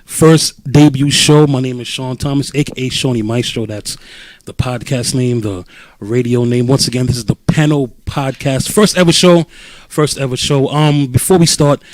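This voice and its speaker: A man's voice: medium pitch, no room sound. A man in his twenties from Brooklyn, hosting a podcast panel in a loose, joking, casual way. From Brooklyn, New York. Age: 20s. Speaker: podcast host.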